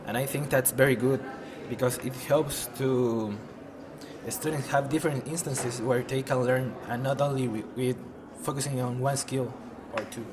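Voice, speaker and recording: An eighteen-year-old man, an English teaching student, speaking haltingly with fillers in a class video. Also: medium pitch, steady tone, noisy background.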